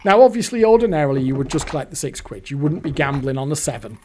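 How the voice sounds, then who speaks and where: A hoarse British man giving rambling, exasperated commentary while playing a fruit machine, swearing often. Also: a little high, sing-song, fast talker. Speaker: video host.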